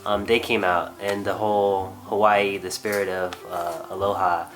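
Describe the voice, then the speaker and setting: A man in his thirties recalling how he met his wife, speaking in a halting casual way with many restarts and fillers. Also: deep voice, little pitch movement, a bit bright. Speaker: guest, host's husband. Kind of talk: casual recollection.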